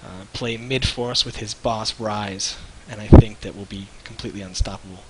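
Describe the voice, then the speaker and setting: A man's voice: lowish voice, steady tone, moderate pace, heavy background noise. A man co-hosting a live stream in casual, jokey chat, rambling and searching for words. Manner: rambling, loose, jokey, hesitant.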